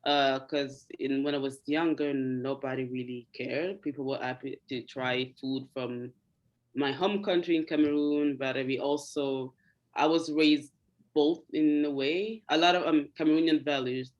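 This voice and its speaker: A young woman from Italy, whose first language is Italian, speaking in a casual interview and often pausing with fillers. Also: extremely low pitch, fairly even intonation, muffled recording. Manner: hesitant, many ums, laughs often. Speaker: interview guest.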